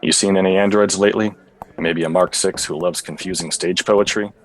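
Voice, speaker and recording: An actor playing a bitter, boozy, clueless android-hunting detective in a noir radio play, with a hard-boiled, melodramatic deadpan. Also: male voice, deep voice, fairly quick, lightly acted, rough recording. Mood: clueless, earnest.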